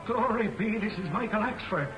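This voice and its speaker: An actor playing an excitable, defiant newspaper reporter who blusters in folksy exclamations, in 1940s film serial style. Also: male voice, sits high, moderate pace. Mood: excited, urgent. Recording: old film soundtrack with music behind.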